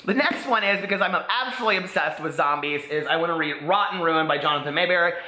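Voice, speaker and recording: A man's voice: a little high, fast talker, pushed and bright, echoey room, a little hiss or hum, low-quality recording. A man from Indiana with a slight Southern drawl, chatting casually to camera as a book vlogger, with sassy asides. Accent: Midwestern American with a slight Southern drawl. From Indiana, USA.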